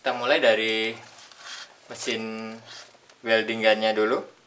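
Bright-voiced man explaining a tool. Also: on the low side, flat delivery, slight background noise. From Indonesia. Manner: plain step-by-step explaining with pauses. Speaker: product reviewer. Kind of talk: unboxing product demonstration. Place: indoors at a tabletop.

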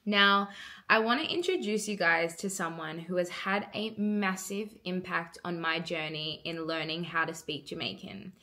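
A woman's voice: on the low side, moderate pace, pushed and bright. A young Australian woman talking casually to camera as a vlogger, bubbly and earnest, laughing often. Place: bright living room indoors.

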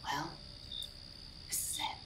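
A woman's voice: takes it slowly, recorded from a distance, noisy background, natural voice. A woman voicing a playful, daring young explorer in a whispered, teasing ASMR roleplay. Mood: teasing, conspiratorial.

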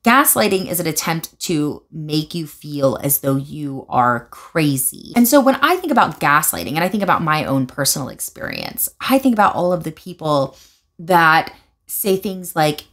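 A young woman with a slightly low voice speaks to camera from a couch at home. She explains her views in an animated way, gesturing as she talks and pausing on fillers and restarts. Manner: thoughtful with frequent fillers and restarts. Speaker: video essayist. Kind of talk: casual commentary vlog. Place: living room, seated on a couch indoors.

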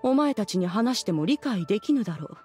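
A woman's voice: mid-range voice, big pitch swings, brisk, warm tone, soft background music, lightly acted. A woman voicing a haughty ancient female immortal in a video game, speaking in an archaic, imperious, formal manner.

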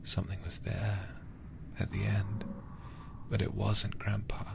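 A man voicing a twitchy, eerie child devoted to grandpa, in a hushed, halting horror-game narration. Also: on the low side, flat delivery, creaky, background noise. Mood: uneasy, eerie.

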